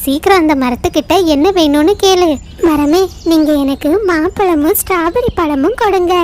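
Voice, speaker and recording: A woman's voice: extremely high pitch, moderate pace, a little mellow. A woman voicing a friendly, eager small forest bird in a children's cartoon story.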